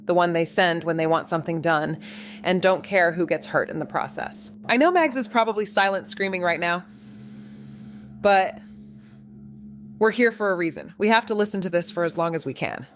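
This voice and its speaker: An actress playing a reckless amateur sleuth who narrates her own noir story in a wry, deadpan, atmospheric way. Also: on the low side, moderate pace, slight background noise, lightly acted. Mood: tense, wry. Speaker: actor narrating a podcast drama. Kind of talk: first-person noir narration and dialogue.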